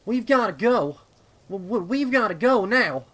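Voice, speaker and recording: A man's voice: high-pitched, expressive, measured pace, fairly bright tone, voice pushed up, full character voice. A man voicing a frightened young filly in a storybook horror reading, urgent and stammering. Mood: urgent, pleading. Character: frightened young filly, loyal little farm pony sister.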